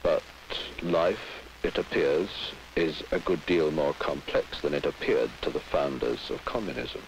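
A man interpreting into English in a measured, halting way, recorded in an old broadcast. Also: a bass voice, poor audio. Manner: measured, halting, restarts phrases. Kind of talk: live interpreting. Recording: old broadcast with faint hiss.

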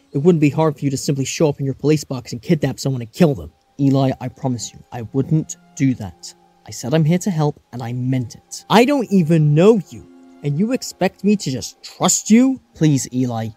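Man acting an earnest, higher-pitched drama adventurer. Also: animated, brisk, dark tone, breathy edge, dry recording, soft background music. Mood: tense, pleading. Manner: dramatic, emotive. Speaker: voice actor performing all parts. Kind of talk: fan-made audio drama acting.

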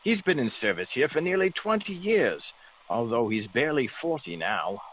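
An actor playing a genial, excitable aristocratic country squire, well-bred and blustering, in classic radio drama. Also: male voice, mid-range voice, normal speed, husky. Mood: confiding. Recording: dull, faintly noisy old radio recording.

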